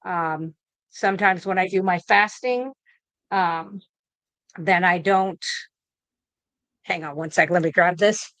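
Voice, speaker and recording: Relaxed woman in her fifties, dry sound. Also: lowish voice, slow, pauses often, dry recording. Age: 50s. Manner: relaxed, rambling with many fillers and restarts. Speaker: guest nurse. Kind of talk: casual interview over video call.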